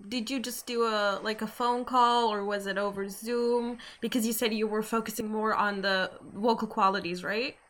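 A woman's voice: medium pitch, steady tone, moderate pace, few pauses, fairly bright tone. A woman hosting a casual interview, asking her guests questions in a conversational style. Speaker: host, interviewer.

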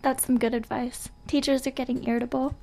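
A woman co-hosting a casual radio show with deadpan, mock-serious humor. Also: sits high, fairly quick, vocal fry.

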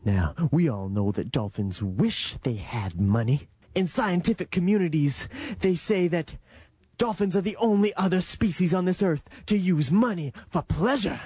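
A man's voice: a little high, normal speed, a little mellow, breathy edge. A man playing a fervent revival preacher of money and consumerism, bombastic and exhorting in a parody sermon. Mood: pompous, mocking.

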